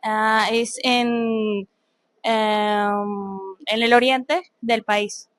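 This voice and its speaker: A Venezuelan-accented woman with a slightly high voice gives short, halting answers. She speaks slowly, falls back on "uh" and laughs nervously. The sound is dry and close. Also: level tone, a bit bright, no echo. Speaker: interviewee.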